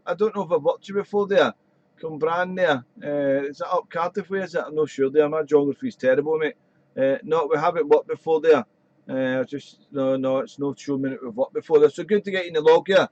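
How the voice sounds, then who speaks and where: A man chatting on amateur radio from his radio shack, rambling and friendly, his voice slightly croaky. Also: on the high side, steady tone, brisk, no echo, highs cut off. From Wales. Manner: chatty, rambling, fills with "there". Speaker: ham radio operator. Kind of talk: casual radio chat.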